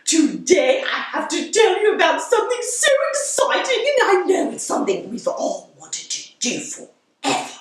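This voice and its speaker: Very high-pitched, gushing tea-party hostess. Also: female voice, huge pitch swings, moderate pace, full character voice. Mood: gushing, theatrical. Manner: affected, gushing, giggly, fond endearments. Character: giggly, doting, theatrical tea-party hostess lady, easily tickled. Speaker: show host. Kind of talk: whimsical character monologue to an audience. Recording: very echoey room, distant microphone.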